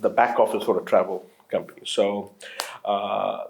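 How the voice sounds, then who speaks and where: A low-pitched man from Qatar in his late thirties talks through an interview in a loose, rambling way. He circles back on his sentences, drops in fillers and laughs easily as he goes. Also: fairly even intonation, moderate pace, creaky, a little mellow, low-quality recording. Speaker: entrepreneur guest. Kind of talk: casual interview conversation.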